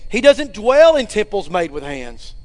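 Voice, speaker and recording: A man's voice: high-pitched, very animated, a little slow, a little mellow. A man preaching a sermon in a church, with emphatic swells and asides to the congregation. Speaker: pastor. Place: church sanctuary. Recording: hall reverb.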